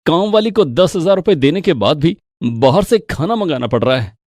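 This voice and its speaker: A man's voice: on the high side, lively intonation, moderate pace, a little mellow, no echo, clean studio sound. A man playing the husband in a squabbling household, in scripted family-story dialogue. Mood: worried.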